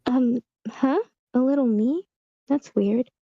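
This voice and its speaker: A woman's voice: high-pitched, takes it slowly, dry recording, full character voice. A woman voicing a bored, pestering, defiant young girl in an amateur screenplay table read, on a slightly dull online call. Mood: sulky. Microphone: video call.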